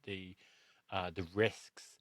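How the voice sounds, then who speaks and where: A man's voice: deep voice, level tone, takes it slowly, dry recording. A man hosting a casual interview, speaking in a reflective, explanatory way and often rephrasing himself as he works through a point. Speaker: podcast host and interviewer. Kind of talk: casual, discursive interview conversation.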